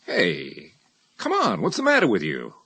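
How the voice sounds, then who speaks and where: Husky, animated political aide. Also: male voice, on the low side, measured pace, full character voice. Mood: jubilant. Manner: insistent, familiar, pressing. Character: Lewis, eager persuasive political aide to a judge. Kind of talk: 1980 radio drama acting.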